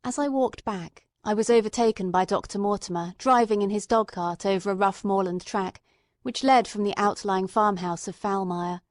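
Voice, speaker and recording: Audiobook narrator: woman, medium register, dry close sound, measured, expressive literary reading. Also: medium pitch, no room sound.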